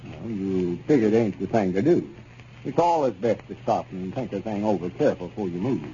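Guy acting a pompous impatient arkansas storekeeper, mellow folksy voice, muffled old time radio. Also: fairly low pitch, expressive, a little fast, natural voice. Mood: patient, lecturing. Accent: rural Arkansas. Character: self-important, impatient male country storekeeper, bossy know-it-all. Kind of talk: 1930s radio comedy acting. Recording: muffled, noisy 1930s radio recording.

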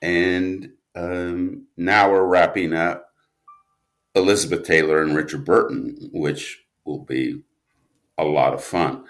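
A man talking casually as a podcast guest, an animated storyteller who rambles and interrupts himself. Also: low voice, takes it slowly. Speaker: guest, audio drama writer and producer.

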